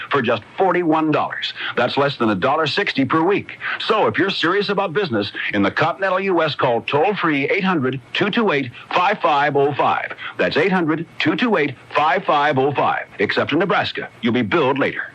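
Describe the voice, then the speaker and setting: Male American ad announcer, fairly high raspy-edged voice, scripted sales read, dull AM radio sound. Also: fairly bright tone. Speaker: commercial announcer. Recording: dull vintage AM radio recording.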